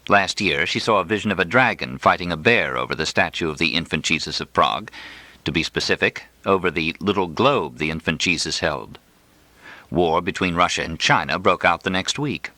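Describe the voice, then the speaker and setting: Bright, lively low-voiced male audiobook narrator. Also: dry recording. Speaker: audiobook reader. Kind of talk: literary first-person fiction narration.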